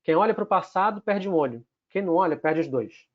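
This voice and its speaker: Carioca man, high voice, methodical webinar presenter, muffled lo-fi stream. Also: lively intonation, a little fast. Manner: structured, lists numbered takeaways.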